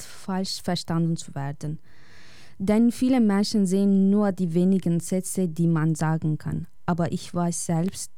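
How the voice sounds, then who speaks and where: A woman speaking as a radio guest, reading a prepared personal essay in a calm, reflective and measured way. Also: lowish voice, clean tone, no echo, clean studio sound.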